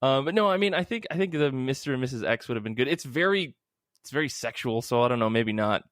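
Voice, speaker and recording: A man's voice: mid-range voice, animated, fast talker, fairly bright tone, no room sound, clean studio sound. A man hosting a casual podcast, chatting in an enthusiastic way. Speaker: podcast host.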